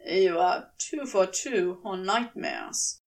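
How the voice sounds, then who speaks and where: A woman voicing a cool, weary, reproachful man with a dry, measured manner in a fan fiction audiobook. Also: lowish voice, wildly swooping pitch, creaky, recorded from a distance, voice pushed down, full character voice. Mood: worried.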